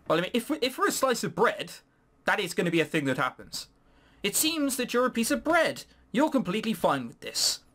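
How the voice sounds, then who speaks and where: Male let's player, very lively pitch, sarcastic and sweary, casual commentary over game music. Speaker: let's play host. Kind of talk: casual gaming commentary, reading game text aloud.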